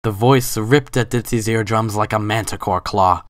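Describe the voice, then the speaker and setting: A man delivers dramatic, scripted story narration. His voice sits low, and the sound is dry with no room around it. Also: fairly even intonation, moderate pace, dry recording.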